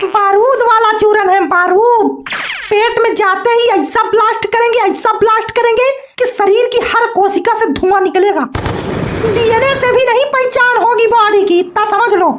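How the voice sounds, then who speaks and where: A man's voice: very high voice, measured pace. A man voicing a cheeky, mouthy male troublemaker in a comedy cartoon, talking in a teasing, colloquial way. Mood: boastful. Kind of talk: Hindi comedy cartoon dialogue. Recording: noisy cartoon audio with clicks.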